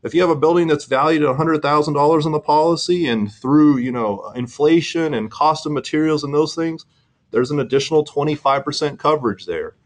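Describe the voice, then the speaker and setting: A man's voice: a little high, brisk. A middle-aged man presenting an insurance webinar, explaining earnestly with frequent fillers and restarts.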